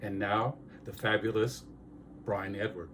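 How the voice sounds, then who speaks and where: A man's voice: lowish voice, steady tone, unhurried, fairly bright tone, slight background noise. A man working as presenter, introducing poetry readings and guests to viewers. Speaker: presenter and archivist.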